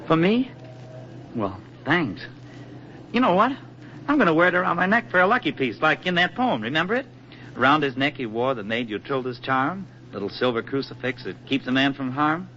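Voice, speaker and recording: Earnest young soldier, muffled recording. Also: male voice, medium pitch, very animated, normal speed, pushed and bright. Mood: tender, earnest. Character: affable young American soldier, tender sweetheart. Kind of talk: 1940s radio acting. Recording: muffled, noisy 1940s radio recording.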